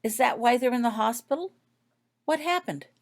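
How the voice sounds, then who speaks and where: Young witch girl for a storybook, read by a woman: earnest, questioning, quick to impatience, emphatic. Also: a little high, lively intonation, pitched above the performer's own voice. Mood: puzzled, earnest.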